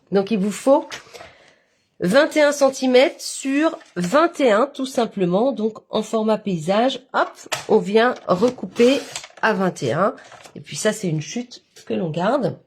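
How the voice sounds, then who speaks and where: Woman, head cold, nasal, breathy, craft tutorial, halting delivery. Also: fairly high pitch, animated. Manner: halting, many fillers, step-by-step. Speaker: papercraft instructor. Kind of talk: live-stream craft tutorial.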